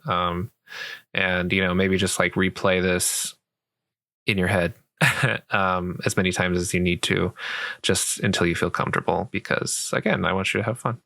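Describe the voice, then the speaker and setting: A sweet, patient boyfriend played by a man walks a beginner through a game in a casual roleplay. His voice is low and crisply bright, recorded close and dry. He rambles gently and keeps things reassuring. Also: fairly even intonation, moderate pace, no room sound, heavily acted. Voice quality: soft, creaky voice. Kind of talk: roleplay ASMR, casual improvised game tutorial.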